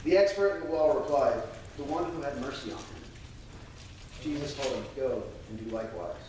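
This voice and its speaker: An American man speaking earnestly and a little haltingly to a congregation, as a church member sharing testimony and scripture. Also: mid-range voice. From United States. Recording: very echoey room, distant mic, faint noise.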